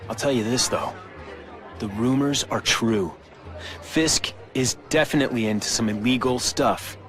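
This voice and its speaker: An actor playing a young American corporate heir in a video game, casual but measured and businesslike. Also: male voice, medium pitch, fairly bright tone, faint music behind, some chatter behind, lightly acted. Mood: guarded. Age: young adult.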